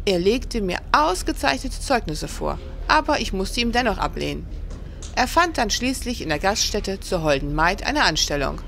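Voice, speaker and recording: A woman's voice: mid-range voice, sing-song, normal speed, a bit bright. Woman acting guarded innkeeper, noisy backdrop. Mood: firm, explanatory. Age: middle-aged. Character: plump cozy innkeeper of about forty, guarded, plain-spoken. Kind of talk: historical radio play acting.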